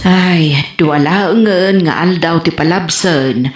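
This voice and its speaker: A woman playing a fluttery village auntie in an Ilocano comedy drama, with an Ilocano accent and theatrical, drawn-out speech. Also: fairly low pitch, over loud music. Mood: homely, busy. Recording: roomy, reflective sound.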